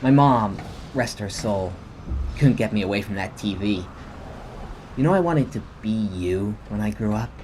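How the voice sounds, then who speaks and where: A man's voice: on the low side, animated, measured pace, a little mellow. A man playing a suave, slightly odd tech billionaire in a radio play. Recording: faint background ambience noise.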